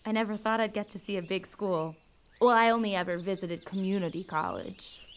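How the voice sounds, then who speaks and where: An actress playing a sweet, upbeat teenage cheerleader with a chirpy manner. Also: female voice, medium pitch, brisk, close to an everyday voice. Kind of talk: audio drama acting.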